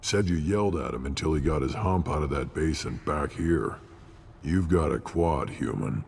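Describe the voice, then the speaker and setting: A man playing a gruff, battle-hardened alien scout commander in a video game, speaking bluntly in a gravelly voice. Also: rumbling low, moderate pace, a little mellow. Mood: gruff, matter-of-fact. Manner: blunt, matter-of-fact. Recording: long reverb, clear background noise.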